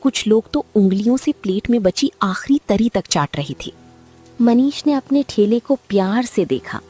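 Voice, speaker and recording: A woman narrating a cartoon story in a steady storytelling manner. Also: mid-range voice, normal speed, breathy edge, soft background music.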